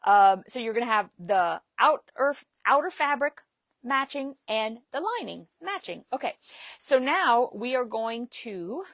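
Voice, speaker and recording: A woman's voice: fairly high pitch, sing-song, measured pace, a bit bright, dry recording. A woman leading a live sewing demonstration, chatting and laughing while she works. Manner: chatty, laughs easily, talks while working. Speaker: sewing instructor.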